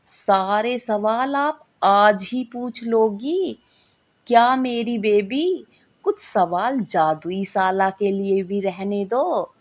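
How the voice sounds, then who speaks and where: A woman voicing a patient, affectionate mother in a magical storybook tale, gently explaining and coaxing. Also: mid-range voice, extremely slow. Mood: fond, teasing. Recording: dull lo-fi recording.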